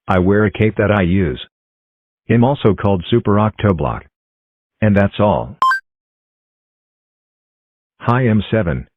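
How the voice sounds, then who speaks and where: Friendly little counting-block cartoon figures played by a man chirp through self-introductions in a low voice. The sound is thin and phone-like, dry and close. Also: no echo. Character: cheerful talking number block characters. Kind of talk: fan-made cartoon voicing. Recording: tinny phone-like dry recording.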